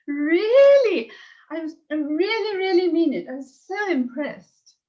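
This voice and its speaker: A woman's voice: very high voice, lively intonation, measured pace, warm tone, lo-fi sound. A woman with a standard British accent giving a contest judge's comments, emotional and rambling, laughing often. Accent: standard British English. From Britain. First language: English.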